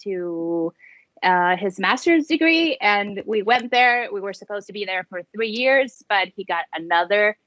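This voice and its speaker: A woman in her forties chats as a podcast guest. She is talkative and joking, says "like" often and slips into Spanish. Her mid-pitched voice comes through a dry, slightly dull recording. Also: lively intonation, measured pace, fairly bright tone, no room sound. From Mexico and the USA. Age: 40s. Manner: chatty, joking, frequent "like". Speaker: podcast guest, soccer fan. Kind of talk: casual chat in Spanglish.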